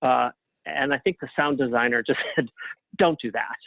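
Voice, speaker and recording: Thin phone-like sound, dry, man, casual interview guest, reflective, halting delivery. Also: mid-range voice, vocal fry, no room sound.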